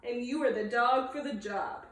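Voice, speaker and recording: A woman's voice: fairly high pitch, moderate pace, reverberant, lightly acted. A woman voicing a tiny male chihuahua sidekick in a children's storybook, laid-back and earnest. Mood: matter-of-fact.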